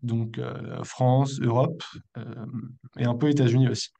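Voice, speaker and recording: Gravelly, mellow man in his thirties. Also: medium pitch, fairly even intonation, a little slow. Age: 30s. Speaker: entrepreneur, podcast guest. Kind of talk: casual spoken account in an interview.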